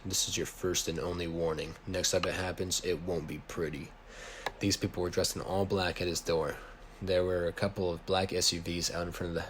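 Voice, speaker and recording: An American man with a low voice reads a story he wrote. He works from a written text as an amateur storyteller. There is faint noise in the home recording. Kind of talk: reading own written story aloud.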